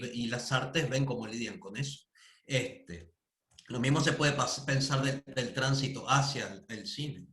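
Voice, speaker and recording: A man speaks over a video call in an echoey room, at a middling pitch. He is thoughtful and hesitant, and he fills his pauses often as he works through his ideas at an academic panel. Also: pushed and bright. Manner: thoughtful, hesitant, frequent fillers. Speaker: conference panelist. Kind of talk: academic discussion comment. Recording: roomy echo.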